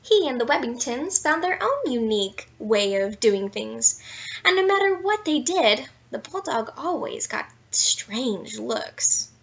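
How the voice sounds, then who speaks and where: A high-voiced woman reads a children's bedtime story aloud. Her delivery is playful and expressive, leaning into key words for drama. The sound is a little dull and lo-fi, like a simple home recording. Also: unhurried, vocal fry. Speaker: storyteller reading a children's picture book aloud. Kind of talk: animated bedtime story read-aloud with asides.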